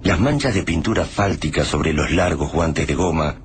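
Grave storyteller, very low voice, Argentine accent, dramatic radio narration, noisy backdrop. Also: male voice, moderate pace. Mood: grave, suspenseful. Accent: Argentine Spanish. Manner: grave, literary. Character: grave storyteller of a doomed survival tale. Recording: noisy background ambience.

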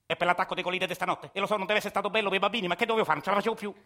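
An actor playing a cranky, foul-mouthed ninety-year-old grandfather with a Roman accent, cursing and shouting his rants in a radio play. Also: high-pitched, steady tone, very fast. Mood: grumbling. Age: 90s. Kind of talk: radio theatre acting.

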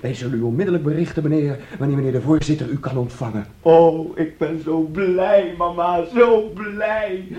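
An actor in a radio drama playing an excitable, childlike son who clings to his mama, emotional and pleading. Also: fairly high pitch, normal speed, few pauses, roomy sound, lightly acted. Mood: excited, proud. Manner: pleading, emotional, swings from glee to anguish.

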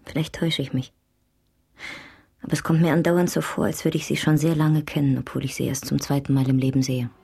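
An enigmatic young Russian émigré widow in a radio drama. Her voice sits low for a woman. She is calm, direct and unhurried, quietly forward with the man she addresses. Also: vocal fry, slightly rough, exaggerated voice. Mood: calm, intimate. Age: young adult.

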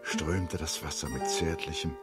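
Male narrator for literary radio drama: low voice, measured phrasing, expressive, set over prominent music.

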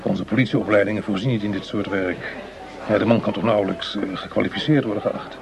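An actor playing a cautious, calculating justice minister in a radio play, speaking in deliberate, formal phrases. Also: male voice, fairly low pitch, steady tone, vocal fry, crowd noise. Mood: guarded concern.

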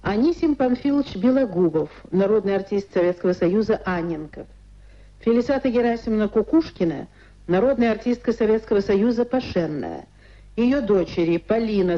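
A woman reading a formal scripted radio announcement with measured enunciation, in the old Soviet broadcast style. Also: medium pitch, warm tone, muffled recording.

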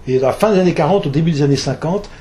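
A man's voice: fairly high pitch, rapid-fire, mellow, slight background noise. A man, from Alsace, speaking as an author at a public talk, hesitant, with many fillers and restarts. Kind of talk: lecture with audience questions.

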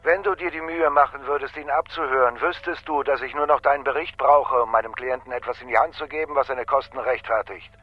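An actor playing a stern, imperious boss, curt and formal, on a muffled phone call in a radio crime drama. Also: male voice, mid-range voice, brisk, few pauses, faint music behind, close to an everyday voice. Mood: reproachful. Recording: muffled, band-limited telephone call.

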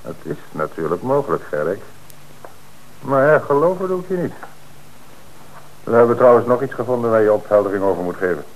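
An actor playing a stern, sceptical police inspector, questioning methodically and insistently in a Dutch radio drama. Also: male voice, mid-range voice, lively intonation, brisk, pauses often, close to an everyday voice, rough recording. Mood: sceptical, pressing.

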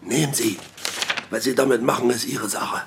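A man's voice: medium pitch, normal speed, gravelly, noisy background, lightly acted. A man playing a furtive informant who fears he is being bugged, in a crime radio play. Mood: secretive. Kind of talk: German radio play dialogue.

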